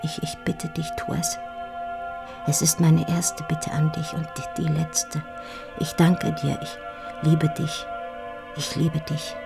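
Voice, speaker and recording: An actress playing a heartbroken, dying woman in an intimate radio drama monologue, speaking in halting, feverish, anguished whispers with repeated words. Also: low voice, little pitch movement, vocal fry, over music, full character voice. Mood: whispered pleading. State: feverish, weak, grieving. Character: heartbroken dying woman writing a farewell love letter.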